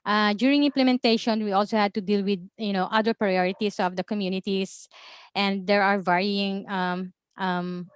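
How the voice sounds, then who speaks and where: A Filipino woman with a Filipino accent, presenting in a webinar as an observatory information officer in a measured way, with many ums and uhs. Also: medium pitch, fairly even intonation, smooth, no room sound. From Philippines.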